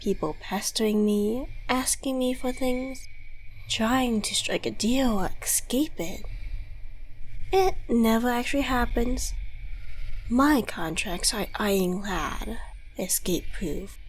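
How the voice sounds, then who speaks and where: A woman's voice: a little high, takes it slowly, a little hiss or hum, rough recording. A woman voicing a smug, menacing devil in an ASMR roleplay, with silky, theatrical, condescending politeness. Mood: boastful, scornful. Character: suave devil dealmaker, courteous, smug and menacing. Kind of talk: ASMR audio roleplay monologue.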